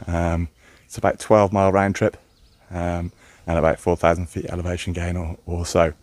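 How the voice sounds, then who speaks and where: A man with a very deep, low voice talks to his phone held at arm's length while out on a mountain trail. He sounds relaxed and rambles casually, filling pauses with ums and uhs. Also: a little hiss or hum. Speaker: hiking vlogger. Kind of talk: casual vlog narration.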